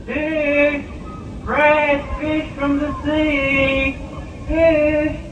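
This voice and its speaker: A male actor playing a young fish peddler with drawn-out street cries in an old audio drama. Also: extremely high pitch, monotone, heavily acted. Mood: insistent, hawking. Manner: drawn-out street vendor's calls. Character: young fish peddler hawking wares at a port. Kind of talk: 1960s audio drama acting. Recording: distant, echoey, muffled noisy old tape.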